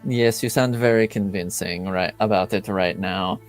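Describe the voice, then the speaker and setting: Man playing a low-voiced, measured noble uncle. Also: soft background music, lightly acted. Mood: dry, quizzical. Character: dry, formal noble uncle, a duke.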